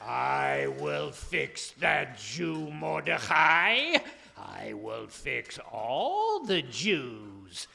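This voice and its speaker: A wicked, vain prime minister for a puppet show. The voice is gravelly and forceful, swooping wildly as it commands and gloats in a drawn-out, cackling way. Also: male voice, on the high side, big pitch swings, heavily acted. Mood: angry, menacing.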